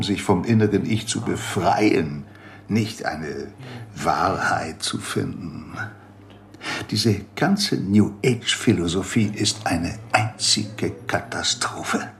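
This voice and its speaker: Creaky, sardonic, slow-talking doctor. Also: male voice, lowish voice, heavily acted. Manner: sardonic, lecturing. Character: grumpy opinionated older male doctor in Mexico, scornful and mocking. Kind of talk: radio drama acting.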